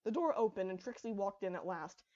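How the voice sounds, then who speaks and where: A woman's voice: mid-range voice, expressive, brisk, darkish tone, muffled recording. A woman reading her own fan fiction aloud as scripted story narration.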